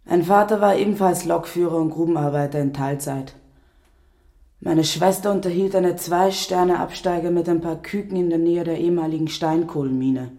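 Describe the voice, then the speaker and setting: A man's voice: a little high, normal speed, dark tone. Male literary narrator over noticeable noise.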